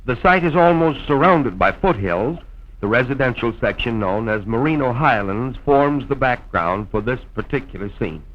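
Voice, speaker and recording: A muffled, lo-fi old film soundtrack with a man announcing. His voice is mid-pitched, and he speaks in the formal, scripted manner of a mid-century radio narrator. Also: animated, normal speed.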